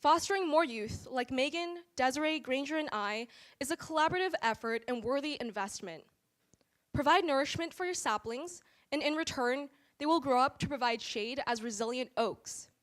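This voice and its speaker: A teenage girl with a high, light voice reads a prepared acceptance speech. Her delivery is formal and measured, with a sincere, earnest tone. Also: expressive. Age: teens. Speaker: student award honoree. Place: awards event hall.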